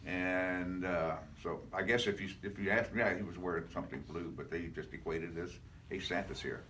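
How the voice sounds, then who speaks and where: An older man with a deep voice talks casually as an interview guest. He is folksy and repeats phrases for emphasis, sitting a bit far from the mic with faint noise. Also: fairly quick, bright, forceful tone. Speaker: interview guest, retired program founder. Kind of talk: casual conversational answers.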